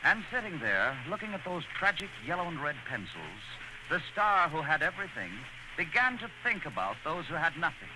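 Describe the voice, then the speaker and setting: A man's voice: fairly high pitch, sing-song, normal speed. A man giving earnest, theatrical scripted narration in a 1940s radio style. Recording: noisy old radio broadcast.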